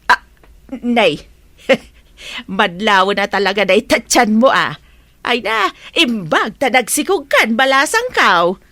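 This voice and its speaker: Actress doing gossipy filipino auntie, radio drama, sharp high swoopy voice, teasing, meddles in everything. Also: female voice, sing-song, no room sound, clean studio sound, exaggerated voice. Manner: gossipy, drawn-out, exclamatory. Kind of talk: Ilocano radio drama acting.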